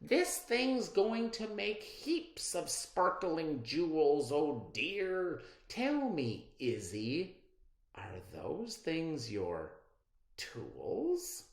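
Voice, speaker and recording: Smug rich storybook girl, played by a man: raised pitch, haughty and mock-sweet, roomy recording. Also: huge pitch swings, extremely slow, vocal fry, full character voice. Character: snobbish, smug rich girl inventor, a rival.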